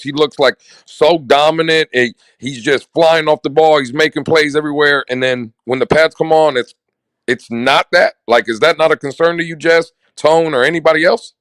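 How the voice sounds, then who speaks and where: A man's voice: a little high, a bit bright, dry recording. A man co-hosting a casual sports chat who argues his point and presses the others with questions. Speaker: podcast co-host.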